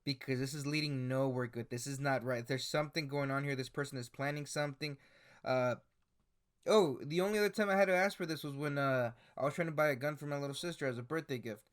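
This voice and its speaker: A man's voice: mid-range voice, quick pace, clear, resonant tone. A man in his twenties giving casual commentary on screenshots and articles, hesitant, with many fillers and restarts. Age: 20s. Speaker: commentary YouTuber.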